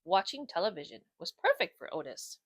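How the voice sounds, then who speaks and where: A woman reading a children's picture book aloud as a storyteller, in an expressive, deliberate way. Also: fairly low pitch, no room sound.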